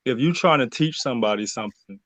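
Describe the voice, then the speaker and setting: A man talking casually as an interview guest, halting and searching for words, often interrupting himself. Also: medium pitch, animated, a bit bright, dry recording. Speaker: interview guest, cast member. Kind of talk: casual conversational interview talk.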